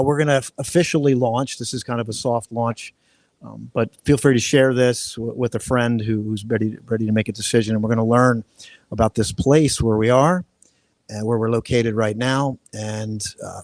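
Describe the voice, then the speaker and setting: A man's voice: mid-range voice, a little mellow, no echo. Man, podcast host, rambling and casual, dry close sound. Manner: loose, rambling delivery with restarts. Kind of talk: live-streamed podcast interview. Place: outdoor resort near waterfalls. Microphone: live-stream setup.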